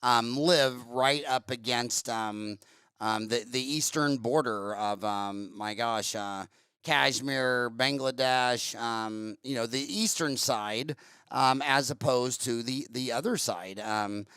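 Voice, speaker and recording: A man's voice: medium pitch, takes it slowly, sharp tone, smooth, no echo. Rambling male podcast host, dry sound. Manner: rambling, searches for words with many ums. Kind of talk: casual interview chat.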